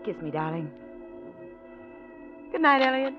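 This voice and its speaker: Lively, willful society woman. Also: fairly high pitch, measured pace. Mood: teasing, self-assured. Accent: American. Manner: arch, teasing, self-assured. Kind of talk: 1940s radio drama acting. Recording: muffled old radio recording, faint music.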